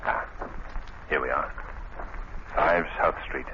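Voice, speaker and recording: Resolute detective hero, extremely low voice, measured, 1940s radio drama, muffled noisy recording. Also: male voice. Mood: calm, guarded. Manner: measured, calmly authoritative. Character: grave, resolute male amateur sleuth.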